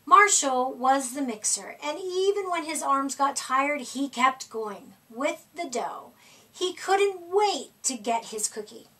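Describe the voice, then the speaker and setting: High, animated woman reading a picture book aloud. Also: slow pace, far from the mic. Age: middle-aged. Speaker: storyteller. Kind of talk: expressive read-aloud of a children's picture book. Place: small indoor room with decorated wall.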